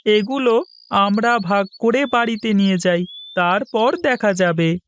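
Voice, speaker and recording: A woman's voice: mid-range voice, a little slow, a little hiss or hum, natural voice. A woman voicing a polite, eager young village boy in a cartoon, with an earnest manner. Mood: helpful. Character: young village boy, eager, respectful, mud-covered fisher. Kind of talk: cartoon voice acting.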